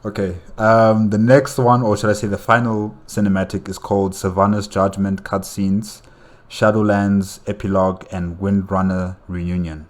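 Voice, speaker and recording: A man with a low voice talks through his reactions as a casual video host. He speaks off the cuff, pausing to think and circling back over phrases as he muses. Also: level tone. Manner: hesitant, musing, repeats phrases.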